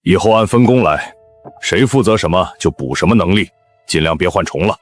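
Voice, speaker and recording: Blunt, hearty team veteran, low lively voice male actor. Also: a little fast. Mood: blunt, advising. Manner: blunt, chatty, slangy. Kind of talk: animated web drama dialogue. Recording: background music under dialogue.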